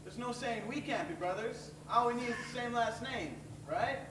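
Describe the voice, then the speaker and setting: A man's voice: extremely high pitch, moderate pace. A young man playing an eager, cocky teenage orphan boy in a stage play. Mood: earnest. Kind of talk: stage acting. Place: theatre stage, far camera. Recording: distant echoey theatre stage, noisy.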